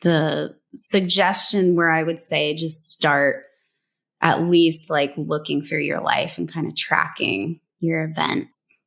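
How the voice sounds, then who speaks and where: A woman's voice: deep voice, takes it slowly, vocal fry. A woman from New Mexico, a brand story strategist, chatting casually as an interview guest and giving advice with many "like"s and "um"s. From New Mexico, USA. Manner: relaxed, conversational, many "like"s and "um"s.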